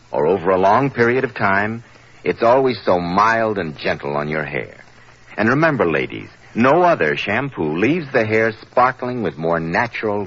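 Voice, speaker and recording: A man's voice: lowish voice, fairly bright tone, breathy edge. A man announcing and advertising on 1940s radio with a polished sales delivery. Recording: muffled 1940s radio recording with music. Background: music.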